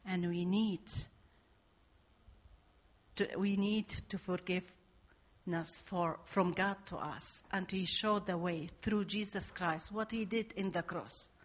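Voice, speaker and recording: An Iraqi-accented woman tells her story slowly and carefully, pausing often on fillers. She speaks simple English to a church audience. The recording is dull and lo-fi. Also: fairly low pitch, fairly even intonation. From Iraq. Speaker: guest speaker. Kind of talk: church testimony.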